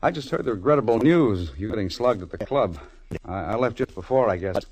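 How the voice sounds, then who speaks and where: A man's voice: lowish voice, lively intonation, brisk, a little mellow, close to an everyday voice, rough recording. A man playing a polite, formal military police corporal in a vintage radio detective drama. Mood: courteous. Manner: courteous, formal. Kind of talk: 1940s radio acting. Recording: vintage radio broadcast recording.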